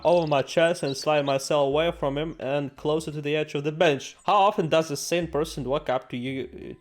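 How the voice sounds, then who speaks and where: A man hosting a game playthrough, reading aloud and reacting in a jokey, theatrical, exclamatory way. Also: fairly high pitch. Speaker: gaming video host. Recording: music behind the voice. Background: game music.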